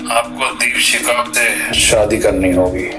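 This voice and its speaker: An actor playing a loyal family steward of a royal house, respectful, formal and earnest, in a Hindi audio drama. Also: male voice, medium pitch, steady tone, takes it slowly, over music, natural voice. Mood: firm, respectful.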